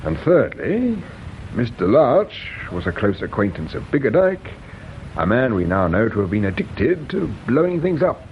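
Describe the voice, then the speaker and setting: An actor playing a courteous, probing English police inspector in a radio mystery drama, measured and dryly insistent. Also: male voice, very animated. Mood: grave, insistent. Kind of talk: British radio drama acting. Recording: dull radio recording with low rumble noise.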